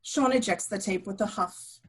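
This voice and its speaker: Casting: female action narrator for a script read-through, mid-pitched. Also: normal speed, breathy edge. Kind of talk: scripted narration, reading screenplay directions.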